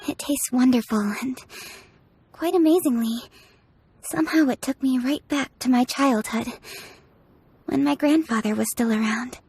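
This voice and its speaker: Dignified, high-voiced young official. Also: female voice, normal speed, darkish tone, breathy edge. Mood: moved, grateful. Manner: formal, composed, articulate. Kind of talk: video game character dialogue. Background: music.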